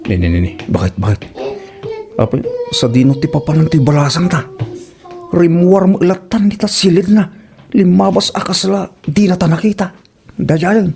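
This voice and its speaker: Comedy drama role: man as fussy Ilocano family father, dark soft tone, protective, full of exclamations. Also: high-pitched, lively intonation, moderate pace, gravelly. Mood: scolding, worried. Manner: exclamatory, repeating short interjections. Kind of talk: comedy radio drama acting.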